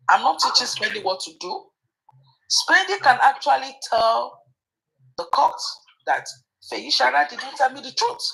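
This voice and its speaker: A woman hosting a talk show, speaking in a fairly high, lively voice with a gravelly edge. She hesitates with fillers, then presses her points emphatically. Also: poor audio. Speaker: host. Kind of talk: casual commentary chat.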